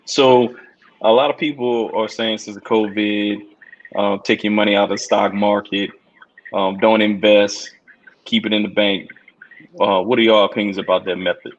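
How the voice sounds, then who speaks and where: A man hosting a podcast interview, asking questions in a relaxed way. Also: on the low side, moderate pace, muffled recording. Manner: relaxed, repeats "all right". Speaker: podcast host.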